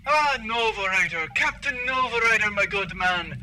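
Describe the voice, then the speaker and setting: A man's voice: high-pitched, lively intonation, moderate pace, sharp tone, faint music behind, full character voice, rough recording. A man voicing a grateful, folksy frontier townsman who speaks formally and effusively.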